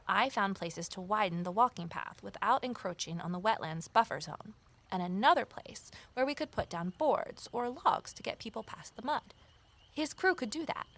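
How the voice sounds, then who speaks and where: An earnest young woman in an audio mystery drama. She has a mid-pitched voice and talks naturally and wryly, with a self-deprecating streak. She sounds like an amateur sleuth who doubts herself but cares a lot. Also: fairly bright tone. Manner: wry, self-deprecating, conversational. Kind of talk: naturalistic audio drama acting and first-person narration.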